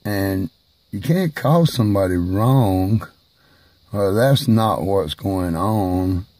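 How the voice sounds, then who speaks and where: A man speaking slowly and haltingly in a personal video monologue, who is hard of hearing and raises his voice at times. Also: sits low, expressive, darkish tone, dry recording. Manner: slow, halting, emphatic delivery.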